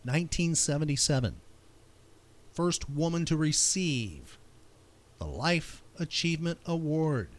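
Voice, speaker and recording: A man's voice: on the high side, animated, a little slow, long pauses. A man hosting a casual trivia show, playfully quizzing and teasing out each question. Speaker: trivia podcast host.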